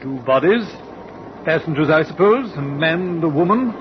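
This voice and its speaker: A man's voice: a little high, animated, close to an everyday voice. A man playing a stern sea captain. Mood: commanding. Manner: measured, questioning, commanding. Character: stern middle-aged male sea captain. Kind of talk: 1940s radio acting. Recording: dull old radio recording with hiss.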